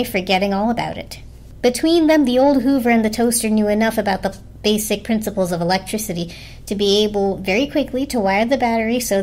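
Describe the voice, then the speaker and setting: A woman's voice: mid-range voice, a little mellow. A woman narrating a children's story as a dramatic storybook reading. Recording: music behind the voice. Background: music.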